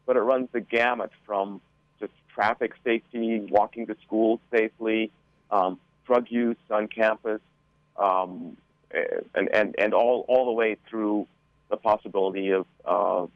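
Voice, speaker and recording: Halting man with a low voice. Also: fairly even intonation, no echo, dull audio. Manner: halting, measured, frequent hesitations. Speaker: school board member guest. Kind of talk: interview answers.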